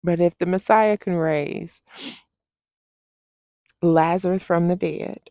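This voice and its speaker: A woman speaks slowly and sincerely, as if sharing personal testimony on a vlog. Her lower-pitched voice often settles into gravelly fry. She offers heartfelt, faith-filled encouragement in a close, dry sound. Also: fairly even intonation, dark tone, no echo. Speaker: personal vlogger. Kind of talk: personal testimony, talking to camera.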